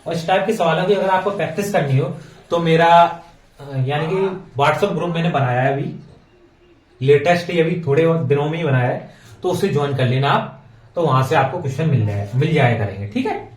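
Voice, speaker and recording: Male maths tutor, fairly high voice, informal and repetitive explanations, recorded in an echoey room. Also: moderate pace. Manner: informal, repeats phrases and often adds check words. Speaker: maths teacher for exam preparation. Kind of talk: step-by-step maths lesson. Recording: echoey room, distant microphone.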